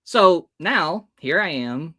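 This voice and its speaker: A man's voice: fairly high pitch, animated, takes it slowly, pushed and bright, no room sound, clean studio sound. A man in his thirties from the United States, a hypnotist guest telling stories in an interview and acting out the people in them. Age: 30s. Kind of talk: storytelling in an interview.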